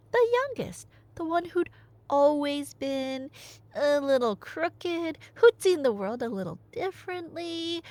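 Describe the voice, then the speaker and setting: A woman playing a nerdy girlfriend in an ASMR roleplay, telling Greek myths conversationally with playful asides and dramatic pauses. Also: fairly high pitch, no room sound. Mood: dramatic storytelling.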